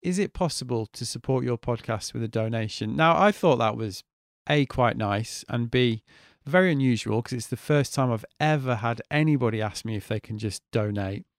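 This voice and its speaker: A man's voice: medium pitch, normal speed, few pauses, no room sound, clean studio sound. A man in his forties, hosting a podcast in a chatty, candid monologue and swearing freely. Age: 40s. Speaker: podcast host. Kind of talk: casual solo podcast monologue.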